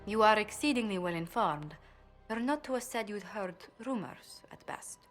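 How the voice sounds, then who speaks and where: A woman's voice: medium pitch, lively intonation, normal speed, fairly bright tone, faint music behind, lightly acted. An actress playing a refined, well-mannered noblewoman diplomat in a video game, articulate and polite. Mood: earnest. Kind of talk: video game dramatic acting.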